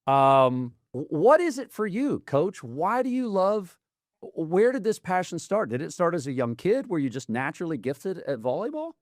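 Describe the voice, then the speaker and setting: A man's voice: on the high side, sing-song, moderate pace, dry recording, clean studio sound. A man from Atlanta hosting a TV interview, asking chatty, enthusiastic questions. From Atlanta, United States. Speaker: news host.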